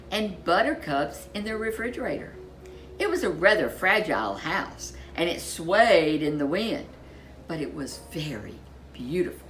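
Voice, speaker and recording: A woman reading a children's storybook aloud as a storyteller, with expressive, deliberate delivery and dramatic emphasis. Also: fairly low pitch.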